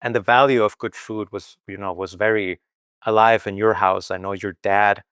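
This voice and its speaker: A man from Venezuela hosts a podcast, asking casual, rambling questions packed with fillers. His voice sits fairly low and sounds smooth and clear. The recording is dry and close. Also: steady tone, moderate pace, a bit bright, no echo. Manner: loose, rambling, lots of "like" and "you know". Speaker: podcast host.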